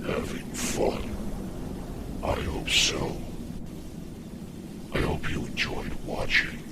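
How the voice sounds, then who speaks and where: A man voicing a sadistic demonic entity for a horror game, a taunting god of death with a breathy growl and a slow, menacing, theatrical delivery. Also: very noisy, natural voice. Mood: sinister taunting. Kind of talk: horror game villain voice lines.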